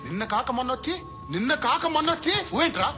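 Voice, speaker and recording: A man's voice: extremely high pitch, very animated, fast talker. A man playing a belligerent gangster in a film confrontation, throwing out taunting questions. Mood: furious. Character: hot-headed, belligerent male gangster shouting threats. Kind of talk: film dialogue, heated confrontation. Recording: loud film music over dialogue.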